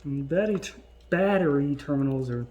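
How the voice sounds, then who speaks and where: A man giving casual, unscripted unboxing commentary in a halting, hesitant way. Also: fairly high pitch, expressive, muffled recording, noisy background. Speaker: vlogger doing an unboxing.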